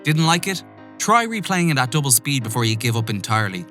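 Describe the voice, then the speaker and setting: A man's voice: mid-range voice, very animated, brisk, bright, forceful tone. A man reading a scripted podcast promo as the show's announcer. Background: guitar music.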